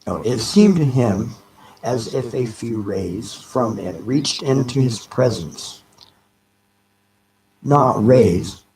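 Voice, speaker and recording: A man narrates a literary story in a medium-pitched voice. He reads slowly and deliberately, setting each phrase apart with measured, dramatic pauses. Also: creaky, a little mellow, a little hiss or hum, rough recording. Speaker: narrator reading a novel aloud.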